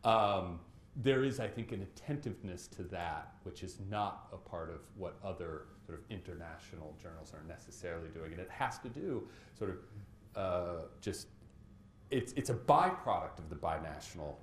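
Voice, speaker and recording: A very low-voiced man speaks on a panel, animated but halting, repeating words as he builds his point. The hall carries a noticeable echo, and a faint hiss sits underneath. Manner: animated, halting, with fillers and laughs. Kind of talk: panel discussion. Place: lecture hall stage, panel table. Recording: echoey hall, faint noise.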